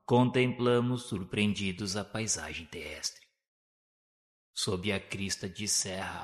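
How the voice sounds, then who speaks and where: A Brazilian man delivers formal, dramatic narration for a radio novel. His voice sits on the low side. Long hall reverb trails behind his literary, solemn phrasing. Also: fairly bright tone.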